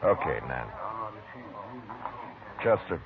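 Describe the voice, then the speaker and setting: An actor playing a calm, firm frontier lawman in a 1950s radio drama, measured and deliberate. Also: rumbling low, creaky, crowd noise, heavily acted. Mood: steady. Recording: tinny old radio broadcast with hiss.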